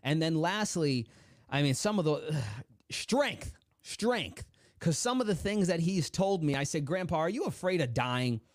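Animated storytelling man, dry close sound. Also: a little slow, no echo. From United States. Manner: animated storyteller, acts out quoted dialogue with big pitch swings. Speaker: guest, motivational speaker. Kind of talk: storytelling in a podcast interview.

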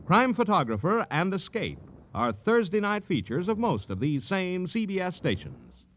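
A man's voice: high voice, big pitch swings, moderate pace. A man announcing in a polished, dramatic vintage radio style, reading scripted program promos. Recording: old radio broadcast, faint music behind.

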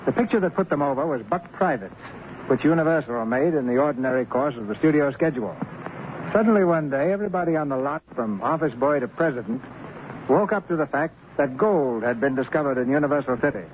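A man's voice: medium pitch, lively intonation, a little fast, narrow, tinny audio, a little hiss or hum. A man hosting a radio theater show, reading scripted narration in the style of 1940s broadcasting.

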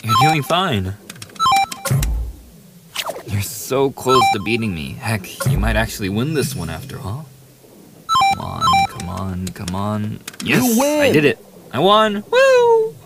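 A man's voice: fairly low pitch, slow pace, pauses often, over loud music, exaggerated voice. A man playing a famous young pop idol boyfriend in an ASMR audio roleplay, playful, affectionate and eager, teasing the listener in a casual, intimate way. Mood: excited, cheering. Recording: steady hiss behind the voice.

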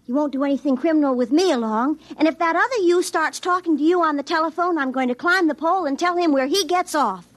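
A woman's voice: high-pitched, fast talker, hardly any pauses, slight background noise, lightly acted. A woman playing a devoted, bossy young girlfriend in a radio comedy drama, speaking in a firm, earnest, no-nonsense way. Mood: firm, determined. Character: bossy, devoted young girlfriend determined to reform her man.

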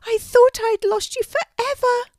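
Storybook casting: a tearful baby girl wallaby, childlike and pleading, voice pitched way up. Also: steady tone, unhurried, no room sound, clean studio sound. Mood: relieved. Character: tiny tearful young female wallaby, lost and pleading.

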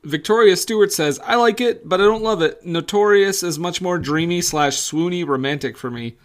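A man's voice: high-pitched, moderate pace. A man co-hosting a podcast in casual comedic banter, playful and irreverent, teasing dryly as he steers a comments segment.

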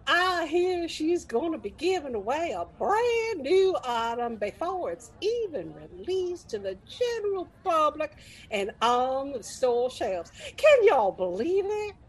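A bubbly lady gushes in a high, slow drawl, sweet with barbs underneath. Her voice swoops dramatically in comedy-sketch style. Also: big pitch swings, full character voice, poor audio. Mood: gushing. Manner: gushing, folksy, dramatic drawl. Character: bubbly, chatty, folksy woman monster-truck exhibitor, sugary with barbs.

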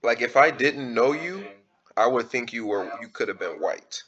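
A man's voice: mid-range voice, animated, moderate pace, a bit bright, dull audio. Laid-back slangy young man. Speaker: podcast co-host. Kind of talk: casual podcast chat.

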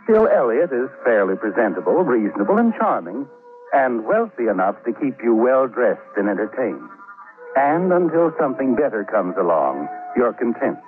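An actor playing a sardonic, all-knowing narrator in a 1940s radio mystery, addressing the heroine with sly insinuation on a muffled old recording. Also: male voice, mid-range voice, big pitch swings, moderate pace, music in the background, lightly acted.

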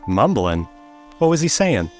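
Eager, animated young sidekick. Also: male voice, mid-range voice, measured pace, soft background music. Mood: eager, earnest. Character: eager, deferential young male detective's assistant. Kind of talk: old-time radio drama acting.

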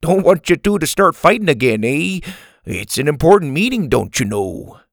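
A man's voice: a little high, very animated, normal speed, vocal fry, dry recording, studio quality, pitched above the performer's own voice, exaggerated voice. A man doing a jolly Canadian fellow. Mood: cheery. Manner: bright, jolly, folksy. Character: cheery, friendly Canadian man.

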